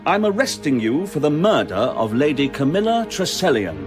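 An actor playing a stubborn, brusque police inspector in a radio drama, blunt and authoritative. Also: male voice, fairly high pitch, sing-song, normal speed, music in the background. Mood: firm, certain. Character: stubborn, brusque male police inspector sure of his case.

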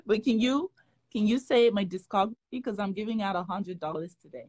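A woman's voice: mid-range voice, a little fast, darkish tone, no room sound. A woman in her thirties hosting a casual video chat, asking playful, teasing questions. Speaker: comedy creator and host.

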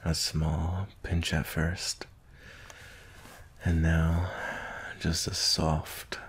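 A man plays a male werewolf bounty hunter in an intimate ASMR roleplay. His voice is extremely deep with a gravelly fry, and he takes his time. He sounds gruff but tender, talking softly and gently with hesitant pauses. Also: monotone, exaggerated voice. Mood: gentle, soothing. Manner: slow, gentle, soft-spoken, hesitant pauses.

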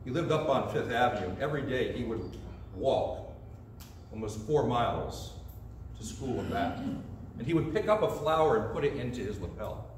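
Animated preacher in an echoey sanctuary. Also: male voice, medium pitch, normal speed, slight background noise. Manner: animated storyteller, gestures with both hands. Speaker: pastor. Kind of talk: storytelling sermon. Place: church sanctuary, indoors. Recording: distant echoey sanctuary sound.

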